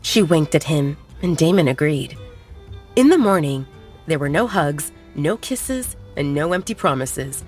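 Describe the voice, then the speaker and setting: A woman's voice: sits low, lively intonation, unhurried, darkish tone. A woman narrating a scripted romance audiobook in a dramatic storytelling style. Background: faint background music.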